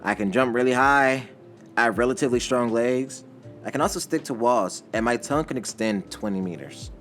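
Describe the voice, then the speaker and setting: A man voicing a calm teenage girl hero in a story reading, frank and friendly in a matter-of-fact way. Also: mid-range voice, a little fast, soft background music, lightly acted. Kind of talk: fan fiction story reading.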